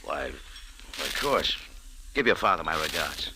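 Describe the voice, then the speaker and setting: An actor playing a tough, sardonic American café owner in an old-time radio adventure, with clipped, commanding delivery. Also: male voice, a bass voice, big pitch swings, slow, full character voice. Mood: gentle. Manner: hard-boiled, clipped, commanding. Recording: noisy old radio drama recording.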